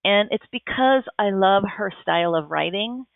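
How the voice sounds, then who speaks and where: Woman with a gravelly voice on a phone line. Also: medium pitch, animated, normal speed, no room sound. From United States. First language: Japanese. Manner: enthusiastic, thoughtful, frequent restarts. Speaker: podcast guest. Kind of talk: casual interview answers.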